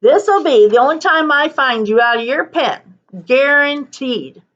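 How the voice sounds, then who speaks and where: A gruff, folksy male sled dog driver from a storybook, acted by a woman, barking out lines in a high, bright voice. The old-time mild cussing comes through on a slightly dull, lo-fi recording. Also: animated, a little slow. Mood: exasperated, scolding. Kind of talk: children's storybook character read aloud.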